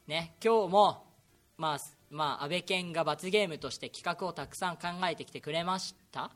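A young man co-hosting an amateur show with casual, teasing banter and quick retorts. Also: on the high side, a bit bright. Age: young adult. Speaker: co-host of an amateur talk show. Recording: music under the voice.

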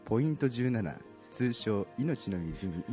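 A man's voice: on the low side, lively intonation, slow, mellow, soft background music. A man reading narration for an amateur radio drama.

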